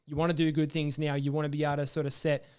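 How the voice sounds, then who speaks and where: A man's voice: on the high side, flat delivery, racing, darkish tone, clean tone. An Australian man hosting a podcast interview, asking long, friendly, rambling questions with plenty of fillers. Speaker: podcast host. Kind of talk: casual interview.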